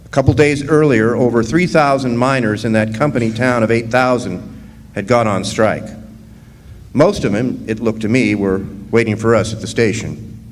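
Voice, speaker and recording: An actor playing a plainspoken, folksy young drifter miner of 1917 in a radio drama. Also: male voice, mid-range voice, moderate pace, close to an everyday voice. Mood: plainspoken reminiscing. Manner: folksy, plainspoken, drawling dropped grammar. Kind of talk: first-person radio drama narration and dialogue. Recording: roomy live hall stage, background hiss.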